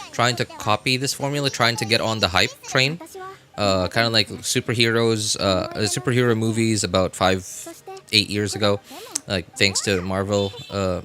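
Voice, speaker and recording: A man's voice: lowish voice, pushed and bright. A man chatting casually as a game livestreamer, hesitant and searching for words, often repeating himself. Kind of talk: casual rambling livestream chat.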